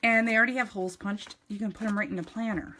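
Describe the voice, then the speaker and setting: A woman's voice: mid-range voice, steady tone, fast talker, background noise. A middle-aged woman with an upstate New York accent, chatting enthusiastically through a live craft demonstration with frequent ums and restarts. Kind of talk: live-streamed craft demo.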